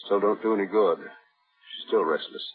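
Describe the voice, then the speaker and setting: A controlling, unhinged husband in an old radio thriller. His low voice speaks of dark things in a folksy, matter-of-fact way, through a thin, tinny broadcast with some music. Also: moderate pace, breathy edge. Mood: eerily matter-of-fact. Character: menacing, domineering husband, quietly deranged killer. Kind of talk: 1950s radio thriller acting.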